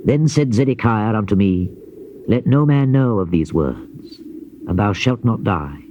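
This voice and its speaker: Dull-sounding tape of solemn, expressive man. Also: lowish voice. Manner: solemn, measured, declamatory. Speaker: scripture narrator. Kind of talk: dramatized Bible reading, theatrical scripted narration. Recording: dull lo-fi cassette with noise.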